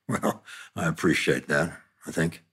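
A man playing a proud, wealthy older father, grudgingly tender, in a drama table read, with a husky voice. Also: very deep voice, takes it slowly, fairly bright tone, heavily acted. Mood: dry, guarded.

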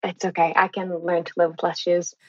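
A woman's voice: fairly low pitch, flat delivery, brisk, dry recording, close to an everyday voice. An actress playing an uncertain, sheltered rich teenage girl at a table read, with slightly dull sound. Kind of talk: table read of a TV teen drama script.

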